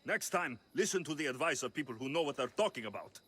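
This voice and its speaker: An actor playing a bold, wry young mercenary in a video game cutscene. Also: male voice, medium pitch, animated, fast talker, fairly bright tone, husky. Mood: pleased, cocky.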